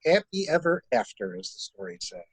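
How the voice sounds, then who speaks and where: A man's voice: mid-range voice, big pitch swings, a little fast, husky, dry recording. A man playing a cynical, plain-spoken adviser in an amateur mystery play, blunt and wry. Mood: blunt, advising. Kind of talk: amateur murder mystery radio play acting.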